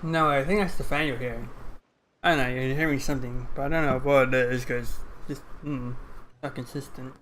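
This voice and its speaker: A man's voice: medium pitch, fairly even intonation, normal speed, fairly bright tone, slight background noise, low-quality recording. A man giving offhand, casual live commentary as he streams a game, muttering asides. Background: faint game music.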